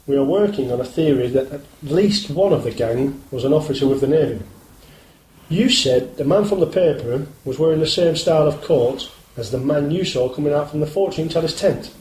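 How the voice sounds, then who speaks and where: A man's voice: on the high side, a little fast, darkish tone, lightly acted. A British man playing an earnest, guarded police inspector in a radio drama, speaking formally and politely. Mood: formal, probing. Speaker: actor in amateur radio play. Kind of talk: scripted radio drama dialogue. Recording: roomy, hissy amateur radio play recording.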